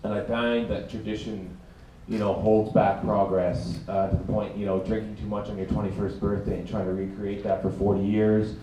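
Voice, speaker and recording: A man speaking on a convention panel as a podcaster, halting and wry, repeating words as he thinks. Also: fairly low pitch, level tone, background noise. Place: large convention hall. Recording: echoey hall, far from mic.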